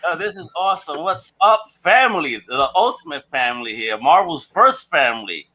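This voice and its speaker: A man with a bright, forceful, slightly high voice hosts a podcast. He talks in casual slang and hypes up his guests like a showman. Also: lively intonation, normal speed. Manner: enthusiastic, slangy, showman-like introductions. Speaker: podcast host. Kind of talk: casual, hyped podcast hosting.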